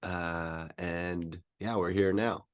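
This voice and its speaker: A man with a fairly low voice, originally from the Philippines, is a guest on a podcast. He speaks in a casual, informal way with some hesitation. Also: steady tone, slow, clear, resonant tone. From Philippines, moved to California as a child. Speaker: podcast guest, mobile home renovation business owner. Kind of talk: casual chat.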